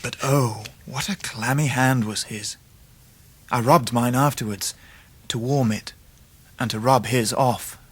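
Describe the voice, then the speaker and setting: An actor plays a man looking back on his boyhood as narrator of a radio drama. His voice is of middling pitch and recorded dry, with a measured, literary delivery. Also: no echo, lightly acted. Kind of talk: scripted first-person narration in radio drama.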